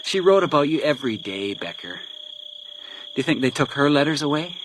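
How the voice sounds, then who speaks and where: A man's voice: on the high side, very animated. An actor playing a menacing, vengeful man with a taunting, theatrical delivery in a detective radio drama. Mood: bitter, menacing. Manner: taunting, theatrical, deliberate when reading letters aloud. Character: menacing vengeful man, grieving brother, cruelly mocking and taunting. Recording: noisy night outdoor ambience with insects.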